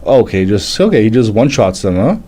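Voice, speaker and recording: A man in his thirties whose first language is French, hosting a casual live manga reading and chat, halting and stumbling as he reads aloud. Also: lowish voice, sing-song, mellow, slightly rough. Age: 30s. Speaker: livestream host, manga reviewer.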